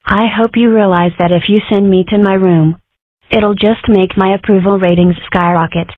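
A woman's voice: medium pitch, steady tone, moderate pace, no room sound, natural voice. A woman voicing a cheeky, contrary young girl fox in an amateur comic dub, delivering dry jokes matter-of-factly. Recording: muffled, phone-like narrow sound.

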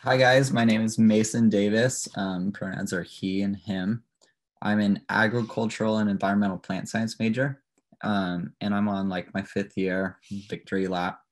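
A man's voice: lowish voice, steady tone, normal speed. A man, casually introducing himself as a student panelist. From small town in Northern California. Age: early 20s. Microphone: video call.

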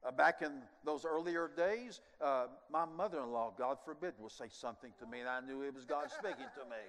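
An older man preaching a sermon as pastor on a large church stage, animated and moving, dropping at times to quiet asides. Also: medium pitch, brisk, a crowd in the background. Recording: long church hall reverb.

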